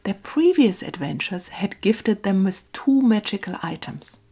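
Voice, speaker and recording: A woman hosting a craft video, talking in a musing, unhurried storytelling way. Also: medium pitch, big pitch swings, dark tone, breathy edge. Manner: musing, hesitant, thinking aloud. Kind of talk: casual craft narration and slow storytelling.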